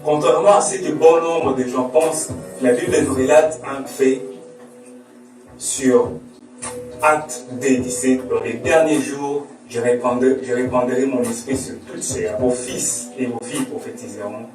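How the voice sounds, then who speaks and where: A twenty-one-year-old man speaking in a measured way and reciting a Bible verse. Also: mid-range voice, steady tone, a little mellow. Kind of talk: recorded youth testimony citing scripture. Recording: echoey room, from far off. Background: music.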